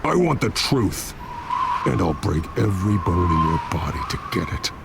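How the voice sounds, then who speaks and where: An actor playing a grim masked vigilante in a video game, speaking in terse, intimidating threats. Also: male voice, sits low, very animated, moderate pace, gravelly, very noisy, exaggerated voice. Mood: threatening. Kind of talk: dramatic video game acting.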